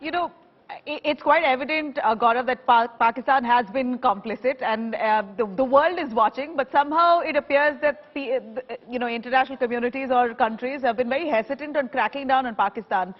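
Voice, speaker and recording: A woman's voice: high-pitched, fairly quick, big-room sound, highs cut off. A woman with an Indian accent anchoring live television news.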